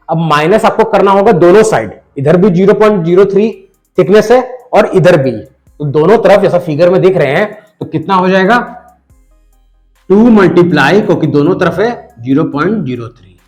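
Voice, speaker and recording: A man with a hoarse voice from a cold, patiently explaining a math lesson step by step and talking straight to the viewer. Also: high voice. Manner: patient, addresses the viewer directly, repeats numbers slowly. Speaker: math teacher.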